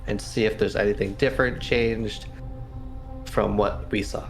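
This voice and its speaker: A man's voice: fairly low pitch, steady tone, a little slow, soft background music. A man chatting casually as a player in a tabletop roleplaying game, hesitant and thinking aloud as he goes.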